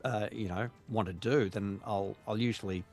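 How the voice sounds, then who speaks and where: A man, a voice actor, talking in a casual interview, measured and hesitant with many pauses and restarts. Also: fairly low pitch, fairly even intonation, darkish tone, faint music behind. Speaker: voice actor guest.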